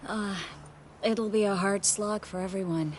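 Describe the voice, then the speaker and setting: An actress playing a proud but wounded sorceress in a video game, with a wry, guarded, conversational delivery. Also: female voice, mid-range voice, steady tone, measured pace, a little mellow, a little hiss or hum, natural voice. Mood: hurt, resigned. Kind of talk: video game dialogue acting. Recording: music playing behind the voice.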